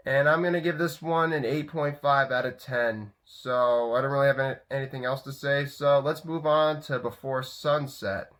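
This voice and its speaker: A young man talks through a movie review while sick with a head cold, his throat sore. He rambles, restarting sentences and leaning on "so, uh" fillers. His pitch sits in an everyday middle range. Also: a bit bright. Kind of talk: casual vlog review.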